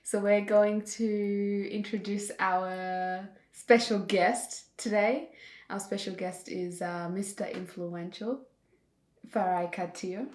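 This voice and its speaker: A young woman hosting an interview, asking questions in a slow, gentle way. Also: medium pitch, little pitch movement. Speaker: host. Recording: echoey room.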